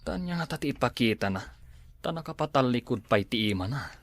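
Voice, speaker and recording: A man's voice: mid-range voice, animated, fairly quick, lightly acted. An actor playing an agitated male villain in a radio drama, exclaiming and protesting with an Ilocano accent. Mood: alarmed.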